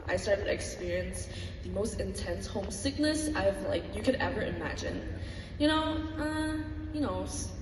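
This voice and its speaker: A woman's voice: medium pitch, darkish tone, slight background noise. Brief: woman in her twenties, earnest student talk, distant mic, roomy reverberant hall. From Malaysia. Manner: earnest, reflective, with light laughs. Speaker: student speaker. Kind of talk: personal talk to an audience. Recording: echoey hall from far off.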